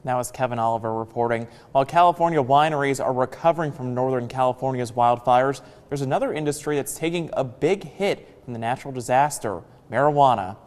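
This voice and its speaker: A man's voice: medium pitch, brisk, hardly any pauses, a little mellow, in a large hall. A man with an American accent anchoring a scripted television newscast. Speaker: news anchor.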